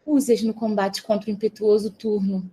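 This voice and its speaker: A woman playing a pleading, self-justifying mother goddess in an audio drama, with a soft, breathy voice. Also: mid-range voice, little pitch movement, moderate pace, natural voice.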